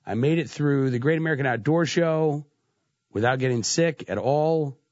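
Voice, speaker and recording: A man's voice: medium pitch, normal speed, darkish tone, no echo. A man hosting talk radio while congested from a cold, slightly nasal. He talks conversationally with lots of "uh" fillers. The sound is dry and a little muffled. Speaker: radio talk show host. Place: radio studio. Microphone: studio microphone.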